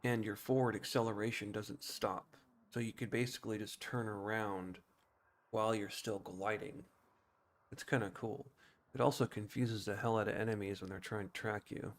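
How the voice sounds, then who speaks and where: Low-pitched man casually narrating gameplay. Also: flat delivery, moderate pace. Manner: thinks aloud, explaining game mechanics informally. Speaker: game streamer.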